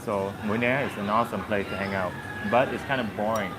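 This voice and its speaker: A man's voice: fairly low pitch, fast talker. A man from Southern Vietnam chatting casually with his viewers as a vlogger, rambling and easygoing with plenty of fillers. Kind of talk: casual chat with live viewers. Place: busy street outdoors. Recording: loud street traffic behind. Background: loud traffic and street noise.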